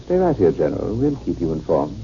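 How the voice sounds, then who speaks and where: Dull vintage radio sound, grave surgeon, low dark voice, American, terse and authoritative. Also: male voice, wildly swooping pitch, a little fast, creaky, exaggerated voice. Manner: terse, controlled. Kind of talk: 1950s radio drama acting. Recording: muffled old 1950s radio recording, faint noise.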